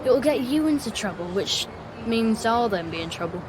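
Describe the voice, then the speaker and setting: A woman's voice: fairly high pitch, animated, brisk, close to an everyday voice. A woman voicing a bossy, exasperated young older sibling in a video game, insistent and flustered. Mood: flustered, worried. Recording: clear background noise.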